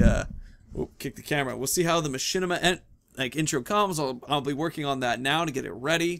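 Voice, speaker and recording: A man's voice: fairly high pitch, vocal fry, a bit bright. A man in his thirties giving casual, rambling commentary as he plays a video game, often thinking aloud and muttering asides. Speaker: video game streamer and host.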